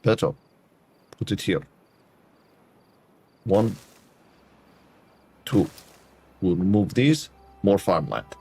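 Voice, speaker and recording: A man's voice: low-pitched, animated, very slow, creaky, pauses often, dark tone, husky. A Canadian man streaming a game, chatting to his viewers in a put-on foreign accent that drops articles. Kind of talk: casual gameplay chat with viewers.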